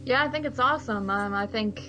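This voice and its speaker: A woman's voice: on the high side, a little fast, sharp tone. A woman co-hosting a podcast, chatting in a casual, informal, conversational way. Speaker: podcast co-host. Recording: slightly dull lo-fi audio, music behind.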